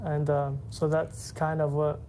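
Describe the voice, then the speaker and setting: A woman introducing her background in a relaxed, chatty way with fillers. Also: low voice, unhurried, a little hiss or hum. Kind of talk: unscripted self-introduction.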